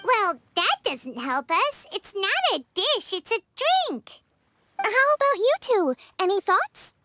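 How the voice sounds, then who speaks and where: A woman voicing a tiny, bubbly, childlike fairy companion in a game, with a squeaky voice. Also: big pitch swings, slow, bright, forceful tone, no echo, faint music behind, exaggerated voice. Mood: puzzled. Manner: bubbly, third-person chatter.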